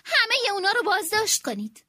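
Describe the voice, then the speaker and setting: Bossy queen bee with a shrill, lively voice, rude and demanding; a woman performs her. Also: no echo, clean studio sound, heavily acted. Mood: shouting. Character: spoiled bossy queen bee, female, quick-tempered.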